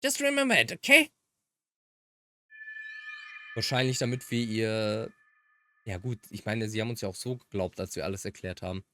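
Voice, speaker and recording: A man's voice: fairly low pitch, fairly even intonation, fairly quick. A man who is a native German speaker, casually commenting on a game as a let's player.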